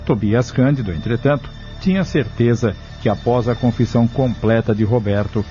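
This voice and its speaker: A Brazilian man narrates an audio drama from a script. His voice is lowish and very mellow. The recording is a little dull, with music behind him. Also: moderate pace.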